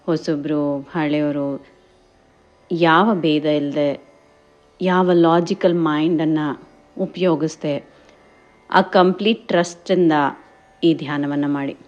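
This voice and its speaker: A woman guides a meditation in gentle, encouraging instructions, addressing listeners as friends and mixing in English words. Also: low-pitched, fairly even intonation, takes it slowly, pauses often, highs cut off. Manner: mixes English words and phrases into speech.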